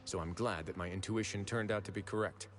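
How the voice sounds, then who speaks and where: A man acts a composed nobleman investigator from a video game. He has a low voice and speaks with measured, articulate authority, used to giving orders. Faint music sits underneath. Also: steady tone, lightly acted. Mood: calm, commanding.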